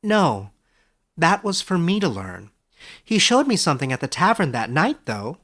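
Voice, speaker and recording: A man voicing an earnest, excitable young former student in a storybook, eager and quick to defend himself. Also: a little high, voice pushed up, heavily acted. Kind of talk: storybook character voice in audiobook narration.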